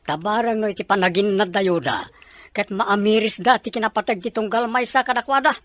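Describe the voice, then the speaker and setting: A woman's voice: medium pitch, fairly quick, no room sound, natural voice. A woman playing a frank, insistent confidant in a melodramatic family drama.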